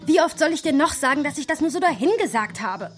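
An actress playing a spirited, expressive young woman with a husky voice in a literary radio play. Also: high voice, quick pace, faint music behind. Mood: exasperated. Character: Sophia, spirited, easily exasperated young woman, second-generation immigrant.

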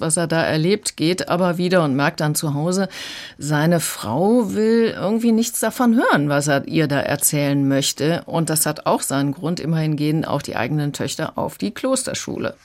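A woman speaking as an audiobook reviewer in a radio conversation, explaining in a measured way. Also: low-pitched.

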